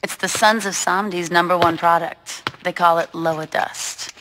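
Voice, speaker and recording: A woman's voice: on the low side, fairly even intonation, unhurried, fairly bright tone, slight background noise, low-quality recording. An actress playing a laid-back young gangster stoner woman in a video game, casual, slangy and wry. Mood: breezy.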